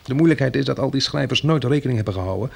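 A man's voice: medium pitch, animated, racing, creaky, a little mellow, slightly rough. An actor playing a weary, practical male survivor turned farmer in a radio drama, with a hesitant, musing delivery. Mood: pensive. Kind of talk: radio drama acting with diary narration.

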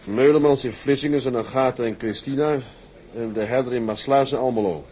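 A man's voice: medium pitch, fairly quick, a little mellow, close to an everyday voice. An actor in a Dutch radio drama playing a genial, plain-spoken sea captain who addresses his men in a businesslike way.

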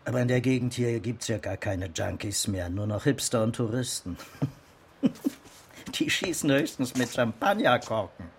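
A dry, sardonic detective in a radio crime drama. His medium-pitched delivery is clipped and factual, with faint background noise. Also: animated, unhurried. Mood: dry, matter-of-fact. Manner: clipped, matter-of-fact briefing.

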